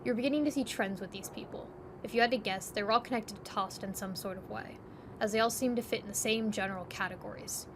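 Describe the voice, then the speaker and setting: A woman's voice: mid-range voice, fast talker, background noise. A woman narrating a scripted second-person story as the narrator.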